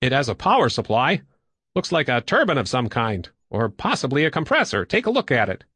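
A man's voice: medium pitch, sing-song, fairly quick, bright, forceful tone, breathy edge, no room sound, exaggerated voice. A man reading an audiobook as a practical, observant crewman, thoughtful and matter-of-fact in manner. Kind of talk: audiobook character dialogue.

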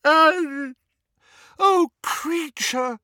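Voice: sits high, drawn-out words, sharp tone, no room sound, clean studio sound, pitched above the performer's own voice, exaggerated voice. A performer voicing a tender-hearted, distressed teenage girl in an audiobook, emotional and pleading. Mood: wailing. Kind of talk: audiobook character acting.

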